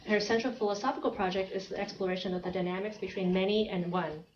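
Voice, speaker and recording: Woman event chair, hesitant intro, roomy hall, dull recording with clear hiss. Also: mid-range voice, fairly even intonation. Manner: halting, with many fillers. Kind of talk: introducing a speaker. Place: lecture hall. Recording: echoey room, muffled, clear hiss.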